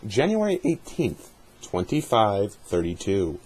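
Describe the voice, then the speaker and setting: A man giving an amateur dramatic reading of a journal in a measured, deliberate way, with a husky voice. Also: fairly low pitch, huge pitch swings, a little mellow, a little hiss or hum, low-quality recording. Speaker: amateur reader hosting a dramatic reading series.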